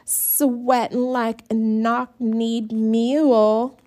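A woman's voice: a little high, flat delivery, drawn-out words, dark tone. A woman voicing a prim, bossy older sister who corrects and wheedles. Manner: prim, syrupy sweet when wheedling.

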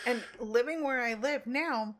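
High-voiced, blunt woman guest. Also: measured pace, fairly bright tone. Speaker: podcast guest. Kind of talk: casual podcast chat.

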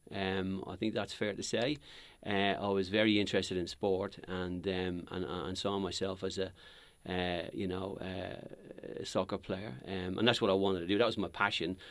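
A man's voice: deep voice, smooth, no room sound. A middle-aged man from Dublin with a Dublin accent, telling his life story as a podcast guest with lots of ums and restarts. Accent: Dublin Irish. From Ireland. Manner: rambling, many ums and restarts. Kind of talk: casual podcast interview.

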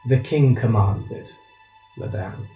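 An actor playing a coldly formal, insistent and menacing royal chancellor in an audio drama. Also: male voice, lowish voice, slow pace, warm tone. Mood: quietly menacing. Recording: echoey, slightly dull, music behind.

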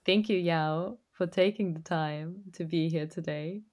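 A woman hosts an interview, putting her questions in an upbeat, encouraging way. Her voice sits a little low for a woman. She responds warmly to each answer before moving on. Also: a little slow.

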